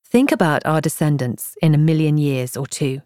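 A woman reading a nonfiction audiobook in a measured, articulate way, as a scripted narrator. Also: low-pitched, darkish tone, dry recording, clean studio sound.

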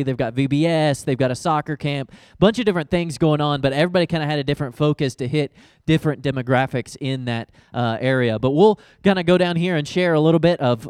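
A man leading a mission team who gives a relaxed, rambling report to a congregation. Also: medium pitch, smooth, no echo, clean studio sound. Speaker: mission trip leader introducing the team.

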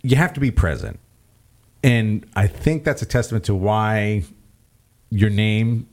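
A man with a moderately low voice hosts a relaxed, conversational interview. He is informal and wordy, working his way around to each question. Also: a little slow. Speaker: interviewer and host.

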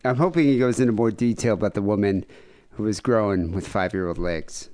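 A man's voice: on the low side, a little fast, darkish tone. A man co-hosting a podcast in casual, crude, joking chat. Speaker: podcast co-host.

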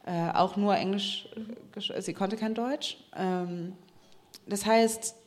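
A woman in a casual conversation, often pausing with fillers and restarting her sentences. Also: medium pitch, steady tone. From Germany. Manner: hesitant, many fillers and restarts.

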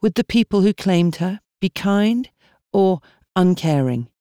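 A woman reading a novel as an audiobook narrator, in measured, expressive storytelling. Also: mid-range voice, no echo, studio quality. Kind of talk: scripted literary narration. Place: dry studio.

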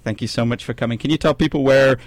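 A man's voice: mid-range voice, fast talker, dry recording. A man hosting a radio studio panel, hesitant and searching for his words. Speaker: radio show host.

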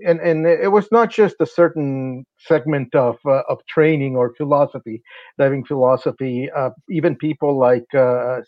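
A man's voice: medium pitch, moderate pace, dry recording, highs cut off. A man from Honduras, a company's sales and marketing director, explaining things at length in a casual interview with many fillers.

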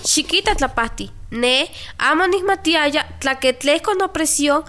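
An anxious expectant villager in a radio drama. The voice is high and sharp, with a worried, questioning manner. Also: female voice, measured pace. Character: anxious pregnant village woman seeking advice.

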